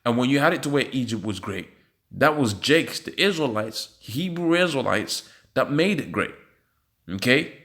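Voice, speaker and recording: A British man, sniffly from hay fever, commenting on a news article with a religious slant, halting with many fillers and emphatic rhetorical questions. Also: mid-range voice, expressive, a bit bright.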